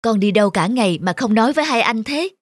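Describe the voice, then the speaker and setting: A woman's voice: fairly high pitch, lively intonation, quick pace, no room sound. A woman voicing a caring mother gecko in a children's cartoon, patient and instructive with her young. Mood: concerned. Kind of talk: animated children's fairy tale acting.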